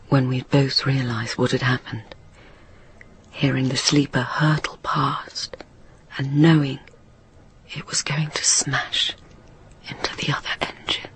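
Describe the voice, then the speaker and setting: An actress playing a guilt-haunted signalman's daughter in a radio drama monologue, recalling in a breathy, hushed, halting voice. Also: a bass voice, a little hiss or hum. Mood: haunted, remorseful. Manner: slow, halting recollection.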